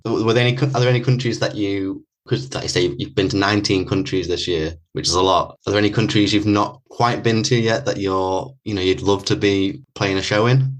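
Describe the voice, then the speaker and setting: Deep-voiced chatty podcast host. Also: male voice, steady tone, a little fast, few pauses. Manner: chatty, rambling questions with "you know" and "like". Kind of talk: interview questions.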